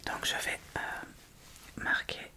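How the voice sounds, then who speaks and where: Slow whispering woman, ASMR makeup tutorial. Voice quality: breathy, unvoiced whisper. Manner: soft, unhurried, step-by-step narration of own actions.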